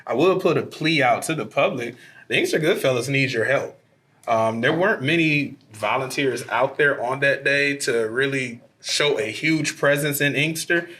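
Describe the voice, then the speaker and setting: A man with a slightly hoarse voice speaks at a city council meeting. He makes an earnest appeal to the public, using plenty of filler sounds, at an ordinary middle pitch. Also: moderate pace, bright, forceful tone.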